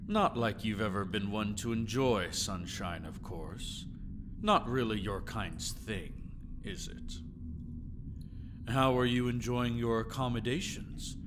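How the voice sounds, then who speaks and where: A cruel, mocking jailer played by a man drawls slowly in an audio drama, all sarcastic courtesy and menace. His voice sits at a medium pitch, with clear background ambience around it. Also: noisy background. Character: sadistic, mocking male jailer of a captive vampire. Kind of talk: scripted ASMR audio drama monologue.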